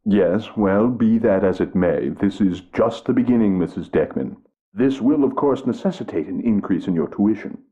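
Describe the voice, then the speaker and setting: Dude doing a condescending fake-psychic teacher, deep voice, sounds like a phone. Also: male voice, animated, moderate pace, dark tone, lightly acted. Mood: smug. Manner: pompous, condescending. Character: smug male con-man tutor, middle-aged. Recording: tinny phone-like game audio.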